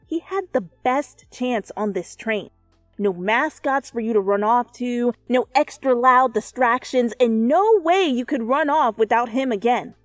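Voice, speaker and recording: A woman reading fan fiction as an expressive, dramatic narrator who swears freely. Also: fairly high pitch, a little slow, no echo. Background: soft music.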